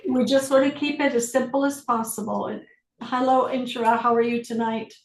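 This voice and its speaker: A woman facilitating an online group session, guiding people through questions in a calm, slow, deliberate way. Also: fairly high pitch, reverberant, rough recording. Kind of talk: guided inquiry on a group video call.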